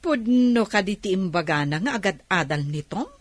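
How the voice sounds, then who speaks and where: An actress playing a nosy, mocking Ilocano auntie who wheedles in a radio comedy drama. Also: female voice, mid-range voice, animated, normal speed, no room sound, lightly acted. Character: nosy, scornful auntie who mooches money from relatives.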